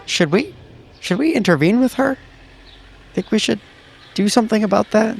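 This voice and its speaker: A man's voice: high voice, expressive, moderate pace, creaky, slight background noise. A man talking casually as a player in a tabletop roleplaying game.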